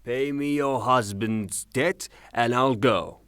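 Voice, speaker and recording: A man's voice: mid-range voice, slow pace, a bit bright, clean tone, no room sound, studio quality. A man acting in a theatrical audio drama as a furious, rude creditor given to blustering, sarcastic tirades. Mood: stubborn, indignant. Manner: blustering, declamatory, sarcastic, long rhetorical tirades. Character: furious, rude creditor, a ranting misogynist gentleman.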